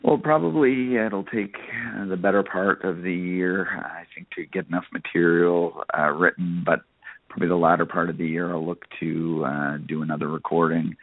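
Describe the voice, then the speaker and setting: A low-voiced man from Nova Scotia chats casually as an interview guest. He rambles along conversationally, with plenty of verbal padding. The audio is dry and band-limited, like a telephone call. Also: fairly even intonation, normal speed, dry recording. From Nova Scotia, Canada. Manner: rambling, conversational, peppered with "you know". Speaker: country singer, interview guest. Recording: narrow phone-like band.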